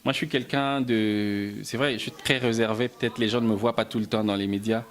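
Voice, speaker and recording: A man from Belgium answering interview questions about his music and films, soft-spoken, often stumbling and repeating words. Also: on the low side, steady tone, a little fast. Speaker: musician and film writer, interview guest.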